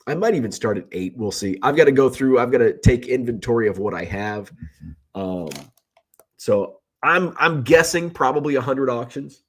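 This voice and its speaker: A man's voice: mid-range voice, lively intonation, normal speed. A middle-aged man who sells baseball cards, talking casually in a rambling, chatty way while sick with a cold. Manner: rambling, self-correcting, chatty. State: sick with a cold, slightly rough voice. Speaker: card seller and live streamer. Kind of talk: casual vlog chat.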